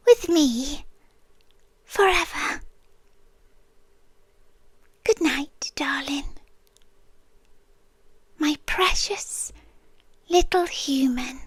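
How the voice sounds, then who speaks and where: A woman's voice: sits high, dry recording, heavily acted. A British woman voicing a possessive, tender but menacing winged monster girl in an ASMR roleplay, cooing breathily in half-whispers close to the microphone.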